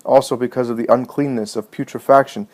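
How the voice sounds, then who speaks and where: A man's voice: mid-range voice, steady tone, brisk, a little mellow, close to an everyday voice. A man reading a practical, grieving woman in a story, holding back tears as she respectfully protests. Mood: distressed protest. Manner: tearfully restrained, protesting. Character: distressed, practical grieving sister objecting respectfully.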